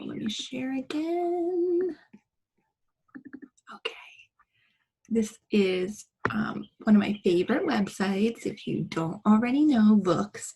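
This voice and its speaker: A woman's voice: fairly high pitch, vocal fry, darkish tone, husky, poor audio. A woman teacher giving an encouraging, instructional online lesson to young pupils. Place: online screen-share session.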